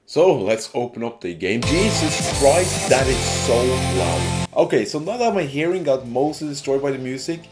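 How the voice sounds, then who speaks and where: A man giving a casual scripted video game review, informal and sweary with animated exclamations. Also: medium pitch, moderate pace, hardly any pauses, over loud music.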